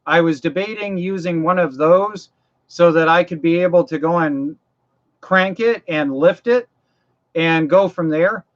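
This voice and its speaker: A man's voice: a little high, measured pace. A man from Maine hosting a casual livestream, rambling and folksy as he answers viewers' mower-repair questions, his voice getting tired. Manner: rambling, folksy, repeats himself and restarts phrases; practical, walks viewers through mower repairs. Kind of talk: casual live chat. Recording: dull lo-fi stream audio.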